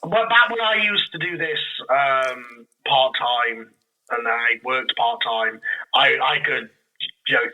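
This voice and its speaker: A man speaking casually as a podcast guest, explaining things in an animated, rambling way. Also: mid-range voice, takes it slowly, phone-quality sound. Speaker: podcast guest, audio drama creator. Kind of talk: casual interview chat.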